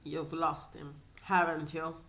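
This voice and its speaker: A woman's voice: low voice, level tone, takes it slowly, fairly bright tone, full character voice. A woman voicing an indignant, sarcastic male undercover mentor in a space adventure story, biting and exasperated. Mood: coldly angry.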